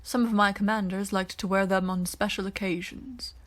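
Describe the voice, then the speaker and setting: A woman's voice: medium pitch, fairly even intonation, normal speed, close to an everyday voice. A woman voicing a wry male alien former military officer in an audiobook, with an understated, murmuring delivery.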